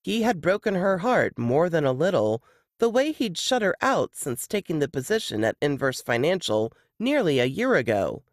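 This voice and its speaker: A woman reads fiction aloud as a narrator, her intonation rising and falling in a lively way. The recording is dry and close, giving the storytelling an uncluttered sound. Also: deep voice, moderate pace, dry recording. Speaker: narrator reading a novel excerpt. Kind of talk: expressive audiobook-style narration.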